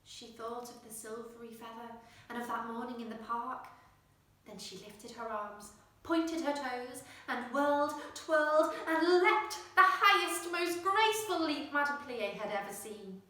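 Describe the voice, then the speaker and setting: A woman reading a children's storybook with expressive narration, putting emphasis on the action words. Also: fairly high pitch, measured pace. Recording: very echoey room, distant microphone.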